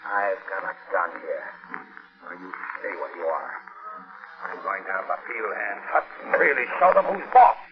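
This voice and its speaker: Animated radio-drama hothead, muffled. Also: male voice, low voice, normal speed. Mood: angry, threatening. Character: hot-tempered men in a tropical love triangle. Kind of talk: 1940s radio drama acting. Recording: muffled vintage 1940s radio recording.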